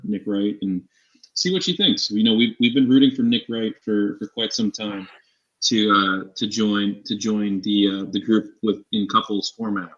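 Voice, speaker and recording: A man co-hosting a casual fantasy sports live show, talking in a halting way with repeated and restarted phrases. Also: fairly low pitch, fairly bright tone. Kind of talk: casual sports talk banter. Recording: echoey room, dull lo-fi mic.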